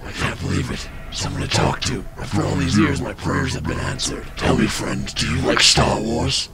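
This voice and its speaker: Croaking, lonely, manic lost soul. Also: male voice, sits low, sing-song, moderate pace, over loud music, heavily acted. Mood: overjoyed. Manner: theatrical, rambling. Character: lonely, chatty, manic male soul in purgatory. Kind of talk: parody game dub.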